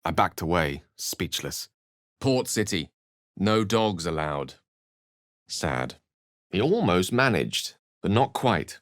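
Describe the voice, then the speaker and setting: Low-voiced, eloquent wizard. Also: male voice, sing-song, slow pace, pauses often, a bit bright, no echo, clean studio sound, exaggerated voice. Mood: grave, brooding. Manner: articulate, erudite, theatrical. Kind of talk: video game character acting and narration.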